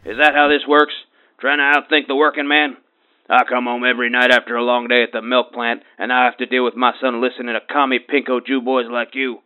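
A man's voice: medium pitch, flat delivery, fairly quick, close to an everyday voice. Guy doing a pompous angry redneck caller, ranting and threatening, muffled lo-fi line. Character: bigoted blustering middle-aged male radio caller.

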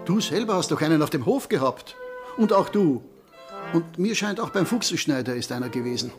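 An actor playing a reasonable but dissenting village councillor in a radio drama, precise and pointed. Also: male voice, on the high side, animated, fairly quick, soft background music, natural voice.

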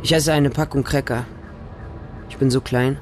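A man's voice: mid-range voice, fairly even intonation, normal speed, a little mellow, soft background music, close to an everyday voice. A man playing a lonely, naive small homeless boy who narrates his life in a radio drama in short, plain sentences. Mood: lonely, wary.

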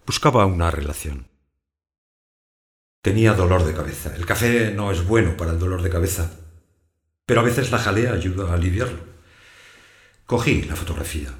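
An actor playing a wary householder who narrates his own story in a scripted audio drama, in a measured, observant way. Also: low voice, animated. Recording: echoey room sound, music behind.

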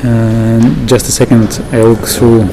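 Russian guy doing interview + translating, very halting, medium pitch, bit of background noise. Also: little pitch movement, vocal fry. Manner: halting, piecemeal delivery with long hesitations. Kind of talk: interview questions and consecutive translation. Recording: slightly noisy live recording.

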